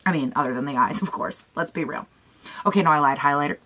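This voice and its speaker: Raspy-voiced young woman gushing over makeup. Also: low voice, fast, a bit bright. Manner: gushing and chatty, talks to herself. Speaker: beauty vlogger. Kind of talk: casual makeup tutorial chat. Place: small room at home, indoors.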